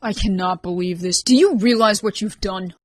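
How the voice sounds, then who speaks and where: A woman's voice: mid-range voice, moderate pace, lightly acted. Woman doing a stern commanding young man. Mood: exasperated. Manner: clipped, commanding.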